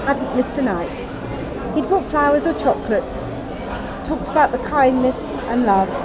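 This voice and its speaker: A woman narrating her own short story in the first person, with acted dialogue. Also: fairly high pitch. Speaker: author reading her story. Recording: loud crowd noise in public room.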